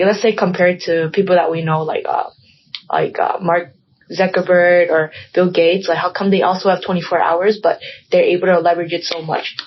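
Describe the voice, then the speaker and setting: A woman's voice: fairly low pitch, husky, dull audio. A young woman guest in a casual podcast chat, rambling and filling her talk with "like" and "um". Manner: rambling, heavy use of "like" and "um".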